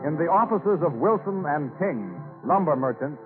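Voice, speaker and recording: Music plays clearly behind a man narrating an old radio drama. His fairly high voice is formal and dramatic, squeezed through a narrow vintage recording. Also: expressive, moderate pace. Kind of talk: scripted 1940s-style radio narration.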